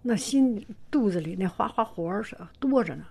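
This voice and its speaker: A woman hosting her own channel, talking gently to her viewers in a soft whisper, hesitant and full of pauses. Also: medium pitch, expressive, creaky, slightly rough. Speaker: channel host. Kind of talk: whispered vlog talk to viewers.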